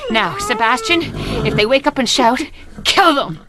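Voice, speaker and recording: A woman's voice: high voice, sing-song, moderate pace. Sly, swooping murderous mother, old radio. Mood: stern, menacing. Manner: mock-polite, theatrical. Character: sly murderous mother, genteel yet bitterly vengeful. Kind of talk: old-time radio drama acting. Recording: noisy vintage radio broadcast.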